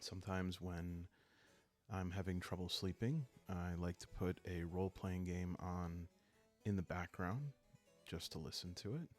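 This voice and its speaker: A man speaking calmly and soothingly in an unhurried way, hosting a relaxing role-playing series meant to help listeners fall asleep. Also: sits low. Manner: calm, unhurried, soothing delivery. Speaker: host of a solo role-playing video series. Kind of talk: calm, sleep-aid ASMR narration to viewers. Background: background music.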